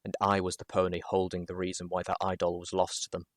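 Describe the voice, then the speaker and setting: A man reading a first-person story as narrator, expressively and with dramatic pauses. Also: low-pitched, monotone, no echo, clean studio sound. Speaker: narrator, show host.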